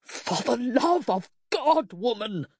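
Woman playing a weary, anguished, pleading husband, husky. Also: low-pitched, expressive, slow, dry recording, studio quality, full character voice. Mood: anguished, exasperated. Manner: pleading, insistent, exasperated. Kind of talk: audiobook character acting.

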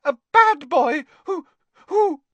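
Squeaky jittery little elf, super high and fast, dry close sound. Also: male voice, lively intonation, a bit bright, no room sound, heavily acted. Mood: angry. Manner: squeaky, breathless, speaks of himself in the third person. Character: squeaky excitable male house elf, loyal and anxious.

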